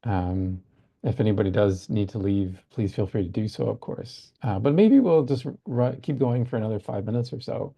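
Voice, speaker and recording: Gravelly low-voiced man moderating a seminar. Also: normal speed, mellow, muffled recording. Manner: thoughtful, hesitant, many fillers. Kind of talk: academic discussion on a video call. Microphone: video call.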